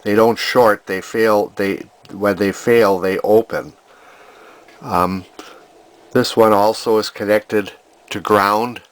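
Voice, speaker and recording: A man's voice: on the low side, fairly even intonation, slow pace. A man narrating an electronics repair casually, thinking aloud in a hesitant, rambling way. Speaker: vintage electronics repair hobbyist.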